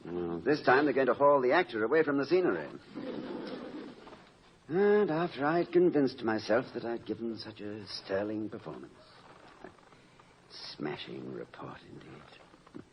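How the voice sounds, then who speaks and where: An actor playing a gentle, wry, middle-aged college president with a hesitant, wordy way of talking, in a 1950s radio drama. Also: male voice, medium pitch, animated, measured pace, pauses often, close to an everyday voice. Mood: wry, dejected. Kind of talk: 1950s American radio comedy-drama acting. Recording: muffled, noisy old radio recording.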